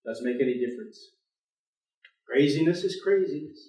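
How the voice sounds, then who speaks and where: An actor playing a weary, stubborn intellectual professor in a staged play reading, speaking in a dry, precise and formal way. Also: male voice, on the high side, lively intonation, normal speed, mellow, close to an everyday voice. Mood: wry, stubborn. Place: indoor theater stage. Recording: echoey theater, distant microphone.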